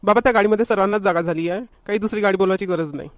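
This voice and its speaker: Man voicing a fast, high-pitched young groom. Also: no echo, natural voice. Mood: assuring. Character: respectful young groom answering his father. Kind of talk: homemade cartoon comedy dialogue.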